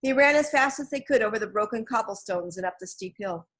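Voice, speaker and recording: A woman's voice: on the high side, lively intonation, fairly quick, a bit bright. Woman narrating a children's storybook. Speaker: audiobook reader.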